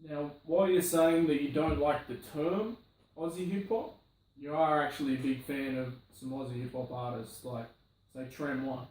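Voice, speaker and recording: A man's voice: a little high, steady tone, moderate pace, a little mellow, a little hiss or hum. A man interviewing a guest in a casual way, asking long leading questions. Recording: far from the mic in a very echoey room.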